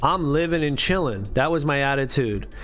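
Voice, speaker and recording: A man's voice: fairly high pitch, expressive, normal speed, a bit bright. A man in his late thirties, chatting casually on a live stream in loose, profane slang full of "dude" and "bro". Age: late 30s. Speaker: livestream host.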